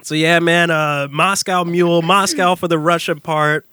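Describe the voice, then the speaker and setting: Male podcast host, high and lively, casual giggly storyteller, dry close sound. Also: normal speed, smooth, no echo. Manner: animated, giggly, slangy. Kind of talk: casual comedic storytelling among friends.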